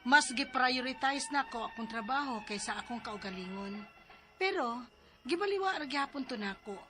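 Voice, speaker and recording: A woman's voice: sits high, animated, normal speed, pushed and bright, faint music behind. A woman playing herself in a radio drama, then reading her worried letter asking for advice. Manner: worried, pleading. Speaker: letter sender and drama lead.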